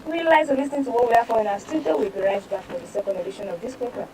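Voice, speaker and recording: High-voiced Nigerian woman presenter, distant mic. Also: very fast, background noise. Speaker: news presenter. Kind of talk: reading newspaper headlines and reports aloud for a press review.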